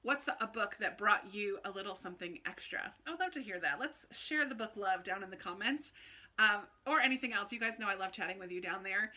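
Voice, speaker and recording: Bright-voiced woman, casual book vlogger. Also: medium pitch, fairly quick. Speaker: book vlogger, channel host. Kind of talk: casual chatty video talk to viewers.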